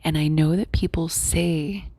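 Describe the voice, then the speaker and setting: A young woman whispering softly into a close mic as she hosts a spiritual podcast talk. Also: low-pitched, steady tone, a little slow, no echo. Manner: soft whisper throughout. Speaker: podcast host.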